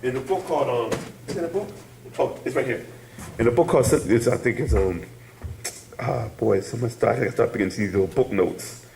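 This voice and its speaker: A man's voice: mid-range voice, fairly quick, gravelly, a little mellow, roomy sound, slight background noise, rough recording. A man teaching a casual class, rambling and laughing, often asking "you hear me?". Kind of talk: casual spoken class lecture.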